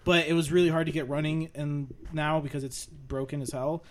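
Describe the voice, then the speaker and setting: A man's voice: fairly high pitch, level tone, brisk. A man co-hosting a podcast in casual, informal group chat. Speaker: podcast co-host.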